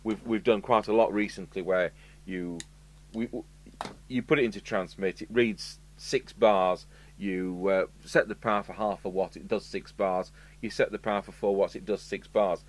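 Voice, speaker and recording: A British man with a fairly low voice talks himself through technical work in a casual, matter-of-fact way. He sounds like a technician musing aloud at the bench. A faint noisy hum sits behind him in the workshop. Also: fairly even intonation, normal speed. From United Kingdom. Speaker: radio repair technician presenting a review. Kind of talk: casual hands-on workshop commentary, thinking aloud. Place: indoor electronics workshop. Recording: clear background noise with electrical hum.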